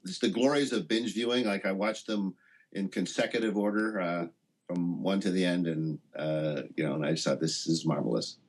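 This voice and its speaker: A man being interviewed as a guest, speaking reflectively and haltingly, often rephrasing as he searches for words. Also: deep voice, fairly even intonation, husky, poor audio. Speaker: interview guest, writer of a satire piece.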